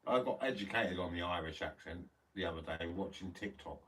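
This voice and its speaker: A man's voice: low-pitched, fairly quick, fairly bright tone, husky, far from the mic. A British man chatting casually as a laid-back co-host beside a livestreamer. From Britain. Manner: laid-back, brief replies. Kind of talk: casual chat. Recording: heard from off-mic.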